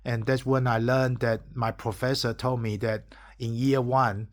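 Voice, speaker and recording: A man's voice: medium pitch, level tone, a little slow. Emphatic Taiwanese-born man in his forties. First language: Taiwanese and Mandarin. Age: 40s. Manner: emphatic, repeats "okay?", swears. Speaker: entrepreneur vlogger. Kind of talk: impassioned monologue to camera.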